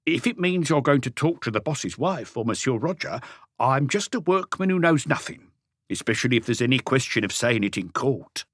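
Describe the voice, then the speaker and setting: A man's voice: mid-range voice, lively intonation, normal speed, dry recording, clean studio sound, pitched above the performer's own voice, lightly acted. A man voicing a wry, evasive old house painter with colloquial speech, in an audiobook story. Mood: cagey, wry. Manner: colloquial, evasive, answers questions with questions. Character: cagey old Parisian workman, stocky house painter, wry.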